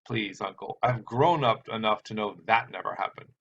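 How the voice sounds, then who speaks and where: A man's voice: lowish voice, normal speed, fairly bright tone. A man voicing an earnest young nephew who protests and pleads with his uncle. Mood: protesting. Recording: dull sound with background hiss.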